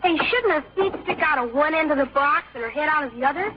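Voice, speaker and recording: Excitable, high-pitched young boy. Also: fast talker, background noise. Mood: eager. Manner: excitable, eager, protesting. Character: lively, indignant, artistically ambitious young boy. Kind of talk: old-time radio comedy acting. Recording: dull vintage radio recording.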